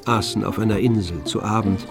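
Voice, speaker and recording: A man narrating a literary radio play in a composed, measured manner. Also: on the low side, steady tone, creaky, music in the background.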